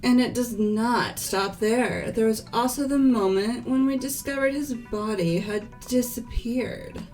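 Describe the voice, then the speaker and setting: A woman's voice: on the high side, measured pace, a little mellow, faint music behind, close to an everyday voice. A woman playing a self-assured, argumentative student in an amateur game dub, emphatic in delivery. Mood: assertive. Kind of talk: scripted amateur visual novel voice acting.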